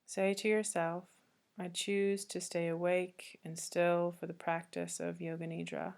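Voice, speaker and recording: A woman yoga teacher leading a guided meditation, speaking calmly and gently with long pauses between soothing instructions. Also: fairly low pitch, gravelly.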